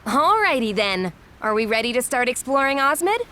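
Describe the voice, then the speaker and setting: A woman's voice: fairly high pitch, big pitch swings, moderate pace, bright, forceful tone, a little hiss or hum. A woman playing an upbeat, curious young adventurer in a video game cutscene, with an inquisitive manner. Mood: eager.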